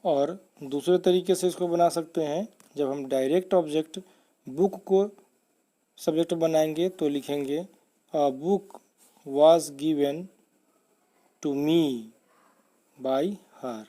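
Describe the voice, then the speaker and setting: A man teaching English grammar in a deliberate, step-by-step, instructive manner, as in a scripted lesson. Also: fairly high pitch, fairly even intonation, dark tone.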